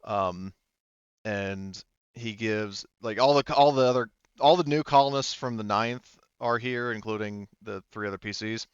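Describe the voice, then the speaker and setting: A man acting as game master for a tabletop roleplaying game, explaining rules and scenes in a halting, conversational way on a close microphone. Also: lowish voice, clean tone, no room sound, highs cut off.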